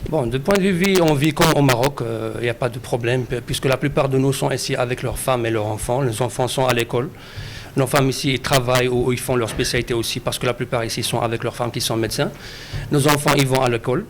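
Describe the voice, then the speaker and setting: A man from Morocco answering interview questions, hesitant with many pauses and fillers. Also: medium pitch, steady tone, a little hiss or hum.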